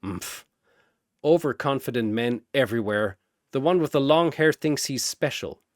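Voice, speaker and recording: A man's voice: medium pitch, expressive, moderate pace, dry recording, clean studio sound, lightly acted. A man voicing an icy, scornful woman fighter in an anime-style story, muttering with disdain. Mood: disdainful.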